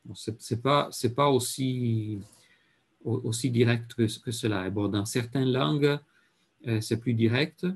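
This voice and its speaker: A man with an Italian accent lecturing in French, halting with many fillers and repeated words, and often clearing his throat. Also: mid-range voice. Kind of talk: university lecture.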